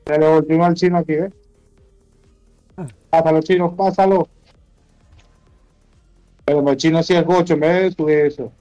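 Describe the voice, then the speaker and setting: A Venezuelan man with a high voice, talking in loose, sweary slang like a gamer in the middle of a session. Music plays behind him as he chats. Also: steady tone, normal speed, pauses often, dark tone, no room sound, highs cut off. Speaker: gamer streaming an online role-playing game. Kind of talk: casual gaming chat.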